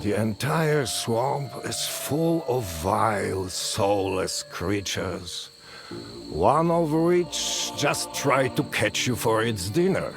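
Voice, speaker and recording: Game casting: aged hermit sorcerer, weary grieving father, very low voice, extremely unhurried, solemn. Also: expressive, gravelly, over music, exaggerated voice. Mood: gruff, grave. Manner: slow, deliberate, solemn. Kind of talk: dramatic storytelling game dialogue.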